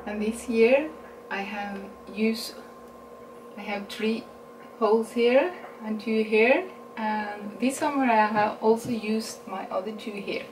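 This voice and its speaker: A woman's voice: on the high side, recorded from a distance. A woman in her forties from Norway, speaking English with a Norwegian accent, chatting casually through a makeup haul and correcting herself as she goes. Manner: unhurried, chatty, self-correcting, laughs at her own English. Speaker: beauty vlogger. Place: small room indoors. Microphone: camera mic at a distance. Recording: roomy sound with a steady background hiss.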